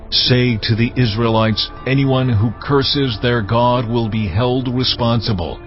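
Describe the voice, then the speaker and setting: A man's voice: mid-range voice, natural voice. A man voicing a solemn, commanding God, music behind. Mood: stern, grave. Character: God, solemn male deity giving laws to Israel. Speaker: voice actor in dramatized audio Bible. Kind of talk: dramatized scripture reading. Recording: dull lo-fi audio, music underneath.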